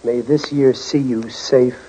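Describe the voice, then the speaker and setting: A man's voice: mid-range voice, fairly even intonation, slow pace, close to an everyday voice. A man playing a bashful, folksy working-class father and amateur poet in a 1940s radio comedy. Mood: sentimental, jokey. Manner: hesitant, folksy, chuckling at himself. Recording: dull, slightly noisy 1940s radio recording.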